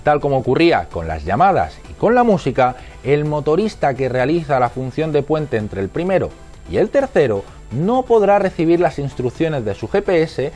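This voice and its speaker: Spanish guy from spain doing a tech explainer voiceover, really animated intonation, some music under it. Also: fairly high pitch. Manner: methodical, didactic. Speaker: narrator of a product explainer. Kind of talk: scripted instructional narration.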